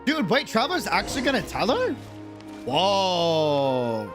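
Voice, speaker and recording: A man's voice: high-pitched, wildly swooping pitch, unhurried, music in the background. An American man chatting casually and reacting in slang as he streams a game. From United States. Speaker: live streamer. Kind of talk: casual game livestream commentary.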